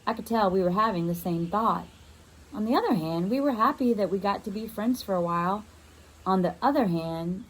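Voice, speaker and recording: A woman reads a children's first-person novel aloud in an expressive storytelling style, as a teacher would. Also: medium pitch, rough recording.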